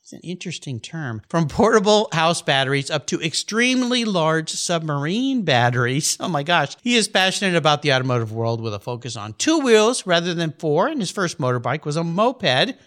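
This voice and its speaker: A man's voice: on the high side, normal speed, hardly any pauses. A man hosting a car podcast, enthusiastic in casual interview talk and ad reads. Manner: enthusiastic, animated. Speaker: podcast host.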